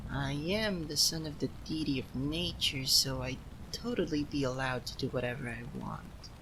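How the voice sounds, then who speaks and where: A man voicing a proud, easily flustered young cat-eared son of a nature deity in an ASMR roleplay, speaking haltingly to the listener. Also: fairly high pitch, background noise. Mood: smug, indignant. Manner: haughty yet flustered, halting.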